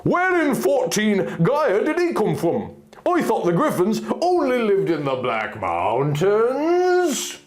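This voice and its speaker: A man's voice: high voice, huge pitch swings, slow, pitched above the performer's own voice, exaggerated voice. A man voicing a flustered, deferential male castle guard, stunned and exclaiming in a stammering way. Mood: shocked.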